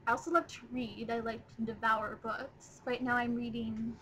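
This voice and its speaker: A woman's voice: fairly high pitch, little pitch movement, moderate pace, fairly bright tone, slight background noise, poor audio. A nineteen-year-old woman chatting in a rambling, casual first video blog, recorded on her laptop's webcam mic. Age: 19, young adult. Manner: chatty, rambling, lots of ums. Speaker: vlogger.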